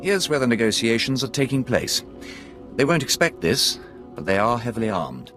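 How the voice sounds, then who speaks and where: An actor playing a curt, smug and impatient Imperial contact in a video game cutscene. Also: male voice, mid-range voice, sing-song, normal speed, fairly bright tone, soft background music. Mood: impatient, scheming.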